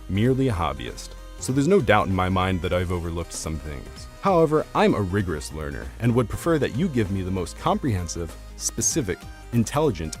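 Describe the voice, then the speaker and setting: A man narrating a scripted, argumentative video essay in an articulate, formal, academic way. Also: low voice. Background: soft instrumental music.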